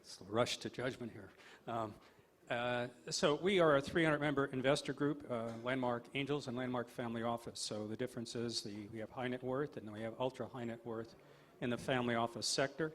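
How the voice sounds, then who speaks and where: A man's voice: mid-range voice, fairly quick, a little hiss or hum. A man speaking on a panel about investing, explaining in a businesslike way. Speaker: panelist, angel investor group leader. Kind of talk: panel discussion.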